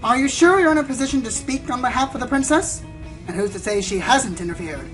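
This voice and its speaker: A woman's voice: on the high side, animated, fairly quick, lightly acted, rough recording. Woman acting pompous, sensationalist pony reporter. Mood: smug, challenging. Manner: dramatic, pompous, insinuating. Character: sensationalist female pony news reporter, probing and smug. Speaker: interviewer. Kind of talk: radio play acting, theatrical interview. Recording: music behind the voice.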